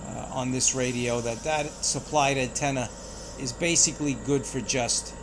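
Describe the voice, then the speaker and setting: A man giving a casual hands-on product review outdoors, speaking in a halting, hesitant way. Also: medium pitch, fairly even intonation. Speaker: radio reviewer. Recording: outdoor noise, insects and traffic.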